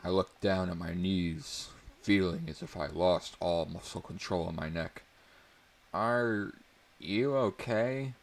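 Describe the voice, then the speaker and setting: A man's voice: low-pitched, flat delivery, normal speed, gravelly, few pauses. A man reading a story aloud as narrator in a dramatic audiobook style.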